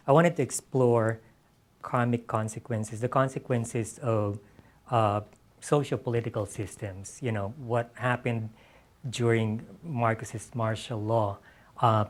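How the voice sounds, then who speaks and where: A man from the Philippines speaking as an author in a reflective public conversation, hesitantly restarting phrases as he thinks. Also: lowish voice. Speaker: author discussing his novel.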